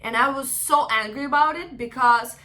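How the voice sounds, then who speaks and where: Young woman, Russian accent, from Ukraine, clear ringing voice, earnest encouraging vlog talk to camera. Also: high voice, normal speed, sharp tone. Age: young adult. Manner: earnest, encouraging, often asks "right?". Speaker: vlogger.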